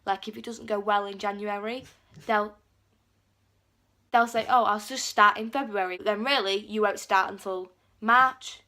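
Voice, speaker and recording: A woman's voice: mid-range voice, fairly even intonation, moderate pace, bright, forceful tone. A teenage girl with a cold, chatting casually to camera and filling her talk with "like". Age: teens. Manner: chatty, lots of "like" fillers, acts out quotes. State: has a cold. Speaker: vlogger.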